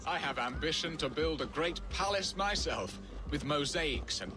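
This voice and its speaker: A man's voice: on the high side, lively intonation, moderate pace, close to an everyday voice. A man acting a melodramatic, self-deprecating Saxon lord in a video game, with flowery, wistful romantic speech. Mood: musing, rueful. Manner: theatrical, flowery, musing speech. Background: game music.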